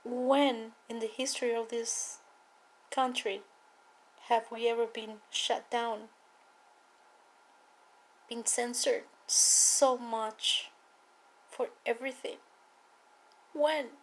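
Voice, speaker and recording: A woman from Cuba with a Cuban accent, a native Spanish speaker, giving an earnest, emphatic personal testimony and political appeal with deliberate repetition. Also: fairly high pitch, steady tone, rough recording. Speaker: political activist speaking to viewers.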